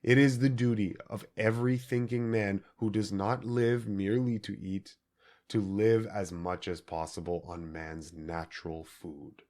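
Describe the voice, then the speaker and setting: A man reading scripted audiobook narration in deliberate, measured phrases with emphatic stresses. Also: lowish voice, flat delivery, darkish tone. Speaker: audiobook reader.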